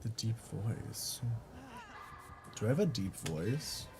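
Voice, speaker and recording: Chatty streamer man with wildly swinging pitch. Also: slow pace. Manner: chatty, swears, reads chat aloud, swings between flat mutters and high squeals. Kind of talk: casual gaming stream chat.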